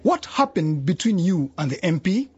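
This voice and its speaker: An actor playing a stern, formal male judge with a husky voice in a radio drama. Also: high voice, very animated, moderate pace, highs cut off, exaggerated voice. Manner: formal, commanding. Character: stern male judge presiding over a corruption trial.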